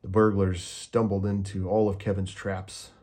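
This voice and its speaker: Deep mellow guy reading a kids book aloud, calm and steady. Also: moderate pace, slight background noise. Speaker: storybook reader. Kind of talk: children's book read-aloud.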